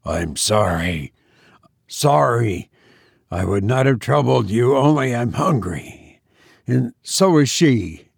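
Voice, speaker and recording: A man acting mysterious storybook castaway, frightened, hopeless, faint halting pleas, slow delivery. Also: mid-range voice, big pitch swings, dry recording, clean studio sound, exaggerated voice. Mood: hopeless, pleading. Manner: pleading, hesitant. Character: mysterious stranger in a boat, frightened, hopeless, pathetic. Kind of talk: audiobook character acting.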